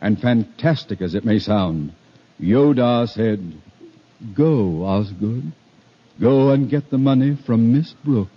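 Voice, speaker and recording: An actor playing a pompous, blustering middle-aged high school principal with theatrical, orotund speech in a 1950s radio comedy. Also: male voice, expressive, slow. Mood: melodramatic. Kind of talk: 1950s radio sitcom acting. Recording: dull, hissy old 1950 radio broadcast.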